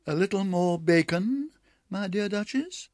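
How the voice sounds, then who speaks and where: A man's voice: sits high, expressive, a little slow, darkish tone, dry recording, studio quality, voice pushed up. A man voicing a prim, proper female cat hostess who speaks with polite disapproval.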